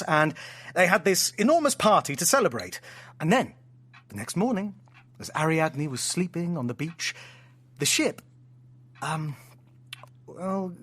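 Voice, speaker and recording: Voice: medium pitch, a bit bright, slightly rough. An actor in an audio drama playing a theatrical, playful storyteller friend with hammy flourishes and sheepish, affectionate asides. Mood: sheepish, defensive.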